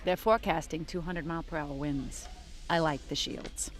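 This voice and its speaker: A quite low-pitched woman acting as a guarded police detective in an audio drama. She is dry and understated, keeping her sentences short. Faint music plays underneath. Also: steady tone, moderate pace, some chatter behind, close to an everyday voice. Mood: dry, reserved. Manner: terse, understated.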